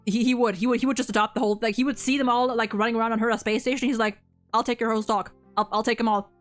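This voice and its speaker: A young woman co-hosting a casual discussion, talking in an animated, opinionated way with frequent swearing. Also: on the high side, rapid-fire, faint music behind. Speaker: video host reviewing a game.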